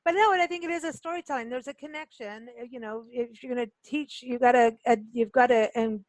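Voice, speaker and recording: A woman's voice: a little high, fast talker, no echo. A woman from Kenya hosting a casual radio interview, talking in a chatty, informal, joking way. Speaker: radio show host.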